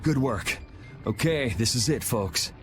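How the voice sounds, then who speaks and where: A man's voice: medium pitch, expressive, measured pace, natural voice. An actor voicing a cool, blunt young swordsman in a video game, dry and laid-back. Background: game music.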